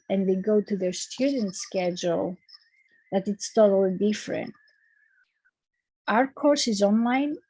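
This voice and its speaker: Slow-talking Greek woman lecturer. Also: mid-range voice, breathy edge, dry recording, poor audio. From Greece. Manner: relaxed, repeats words and fills with um and okay. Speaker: university lecturer. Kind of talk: online class announcements. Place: recorded video call. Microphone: computer mic on a video call.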